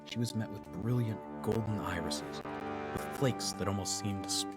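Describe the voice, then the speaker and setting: A man's voice: on the low side, fairly even intonation, moderate pace, lightly acted. A man reading scripted, suspenseful narration for a fantasy audio drama. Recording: loud music over the voice. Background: loud instrumental score.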